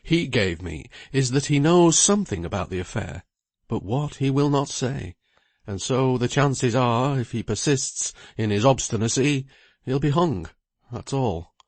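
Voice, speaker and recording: A man's voice: medium pitch, animated, no echo, pitched above the performer's own voice. A man voicing a busy middle-aged lawyer who speaks in a precise, emphatic way. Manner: precise, emphatic, talks quickly.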